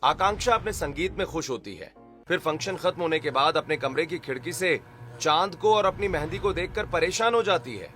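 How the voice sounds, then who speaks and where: A man's voice: on the high side, animated, fairly quick, sharp tone, soft background music. A man narrating a scripted Hindi family story.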